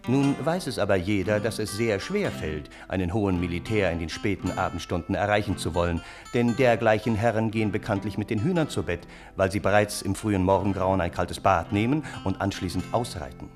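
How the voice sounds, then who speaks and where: An actor playing a wry, wordy gentleman who narrates a German radio play in measured, ornate, ironic phrases. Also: lowish voice, faint music behind, natural voice. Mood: wry, confiding. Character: wry, wordy storytelling uncle, Russian gentleman, scheming and earnest.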